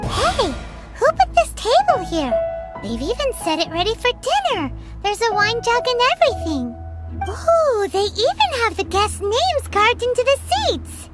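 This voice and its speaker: An actress voicing a tiny, cheerful, childlike fairy companion in a game, with a squeaky, bubbly, naive delivery. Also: female voice, exaggerated voice. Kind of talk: cartoonish game voice acting. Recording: loud game music behind.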